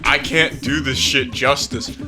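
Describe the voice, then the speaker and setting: A man's voice: medium pitch, steady tone, unhurried, music in the background. A young adult man doing casual host commentary and reading a summary aloud, sweary and amused. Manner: sweary, amused, often breaking into laughter. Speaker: video host.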